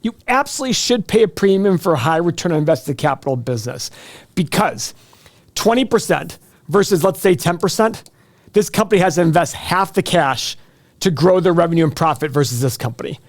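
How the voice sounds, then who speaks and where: A man's voice: a little high, expressive, a little fast. A man hosting live stock earnings commentary, talking conversationally and explaining things directly to viewers. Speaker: finance show host.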